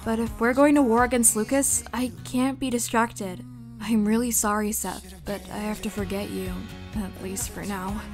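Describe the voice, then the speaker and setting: A woman voicing a gentle, dutiful young witch in an amateur roleplay, sincere and soft. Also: on the high side, steady tone, normal speed, music in the background, lightly acted. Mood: tender. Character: young female witch protector, tender and dutiful.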